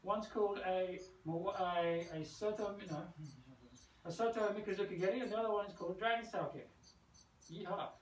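A man's voice: sits high, steady tone, a little fast. A middle-aged man teaching martial arts moves in a casual, playful way while moving about, punctuating his instructions with sound words. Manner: physically active while talking. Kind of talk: hands-on demonstration, casual teaching. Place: covered brick veranda outdoors. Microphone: camera several metres away. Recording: distant camera mic, roomy, noisy.

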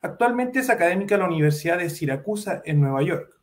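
A man's voice: high voice, lively intonation, quick pace. A man in his thirties hosting an online book launch, reading a formal introduction of a guest into a headset from a home office. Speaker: event host. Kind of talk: scripted introduction. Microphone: headset on video call.

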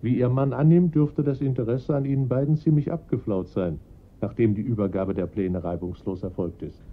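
A composed police inspector in a radio crime play, acted by a man. He has a middle-pitched voice and questions in a formal, measured way. The sound is muffled and lo-fi. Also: steady tone, natural voice. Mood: measured, probing. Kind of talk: German radio crime drama acting.

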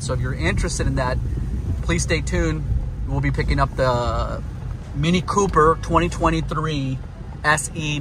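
Man from houston, car vlogger, relaxed rambling talk, car recording with clear road and rain noise. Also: mid-range voice. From Houston, Texas. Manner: relaxed, rambling, repeats himself. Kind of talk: casual vlog while driving. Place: inside a car driving in rain. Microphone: phone camera mounted in car.

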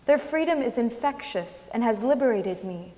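A woman's voice: fairly high pitch, expressive, normal speed, warm tone. A woman giving a dramatic reading from the stage of a concert hall. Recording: concert hall with long reverb.

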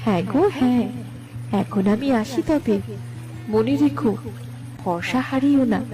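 A woman's voice: a little high, unhurried, over music, natural voice, low-quality recording. A woman as a reassuring magical fairy. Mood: kind, reassuring. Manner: reassuring, benevolent. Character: kind, magical fairy who comforts the poor. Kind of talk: cartoon folk tale dialogue.

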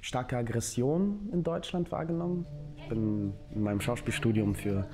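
A man giving reflective personal testimony, searching for his words in a halting way. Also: mid-range voice, expressive. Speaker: interviewee, actor. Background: music.

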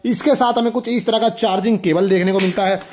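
A young man with a high voice talks casually to viewers as a toy review host. His delivery is enthusiastic and full of exclamations, and he tends to repeat his points as he demonstrates. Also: steady tone, quick pace, a little mellow, a little hiss or hum.

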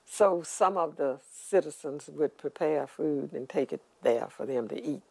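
A woman's voice: low-pitched, animated, a little slow, gravelly, a little mellow, breathy edge, no echo, low-quality recording. A woman in a documentary interview telling stories of the past with admiration. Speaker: interviewee recalling the civil rights era.

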